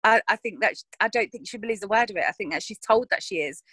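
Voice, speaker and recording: A woman speaks frankly and casually about her character, often restarting her sentences as she thinks aloud. Her voice sits at a middle pitch. The sound is dry and close, with no room around it. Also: animated, fast, sharp tone, husky, no room sound. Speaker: actress interviewed about her roles. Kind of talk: casual interview chat.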